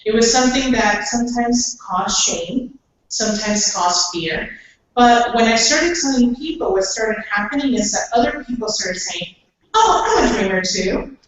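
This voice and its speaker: A woman from Ecuador giving an earnest orientation talk to new scholarship recipients, with frequent pauses and "um"s. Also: a little high, lively intonation, muffled recording, a little hiss or hum. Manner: earnest, plain-spoken, many "um"s. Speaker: scholarship program staff. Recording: echoey hall, speaker far from mic.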